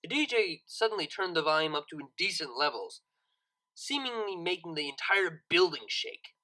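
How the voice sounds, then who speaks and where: Audiobook narrator, mid-pitched, bright and forceful, dramatic deliberate phrasing. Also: very animated, rough recording. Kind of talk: expressive scripted story narration.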